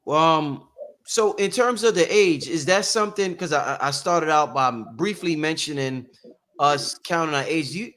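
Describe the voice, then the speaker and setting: High-voiced man hosting a casual show. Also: normal speed, fairly bright tone. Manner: loose, slangy questioning with many fillers. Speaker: host. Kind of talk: casual podcast chat.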